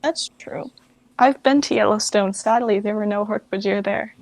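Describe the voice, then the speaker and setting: A woman's voice: mid-range voice, normal speed, creaky, darkish tone, no room sound. An American woman giving an interview as a guest, chatting informally and thinking aloud. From United States. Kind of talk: casual chat.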